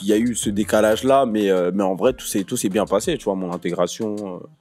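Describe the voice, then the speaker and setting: A man's voice: fairly low pitch, fast, faint music behind. A young man from the Ivory Coast, a stand-up comedian chatting as a podcast guest, telling stories with slang and frequent laughter. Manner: laughs often, lively storytelling, street slang. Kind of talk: casual podcast chat.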